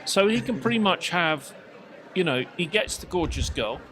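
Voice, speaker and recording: A man's voice: high-pitched, animated, normal speed, a bit bright. A man hosting a casual panel discussion, putting questions to the group as moderator. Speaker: podcast host and moderator.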